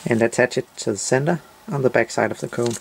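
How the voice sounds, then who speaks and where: A man's voice: mid-range voice, quick pace, creaky, slight background noise. A man narrating a step-by-step DIY tutorial in short, simple, matter-of-fact instructions.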